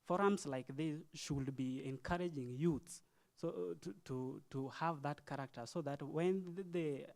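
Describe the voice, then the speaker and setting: A man with a Kenyan accent giving an impromptu speech at a youth forum, halting and earnest, urging listeners with rhetorical questions. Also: a little high, darkish tone. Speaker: forum participant.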